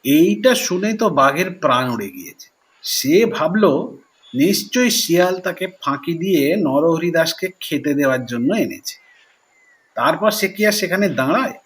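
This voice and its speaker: A man telling children's stories expressively and pausing to explain words as he goes. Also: on the high side, animated, fairly bright tone. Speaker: storyteller. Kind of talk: children's storytelling with word explanations.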